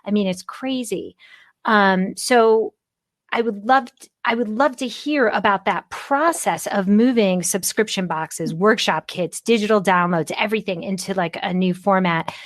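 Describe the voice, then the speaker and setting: A woman's voice: medium pitch, a little slow, no room sound. Fifties woman hosting a podcast, chatty, encouraging, long rambly questions, dry close sound. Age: 50s. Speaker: podcast host. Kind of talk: casual interview conversation.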